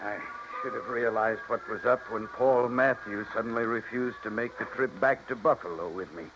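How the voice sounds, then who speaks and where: An actor playing a bitter betrayed husband in a 1950s radio drama, intense and halting. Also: male voice, mid-range voice, fairly bright tone, close to an everyday voice. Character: bitter betrayed husband, a yacht owner who survived sabotage. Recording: noisy, dull 1950s radio recording.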